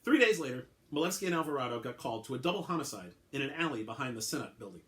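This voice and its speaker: Animated man reading a story. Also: medium pitch, rapid-fire. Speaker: author reading own story. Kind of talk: dramatic storytelling, narration.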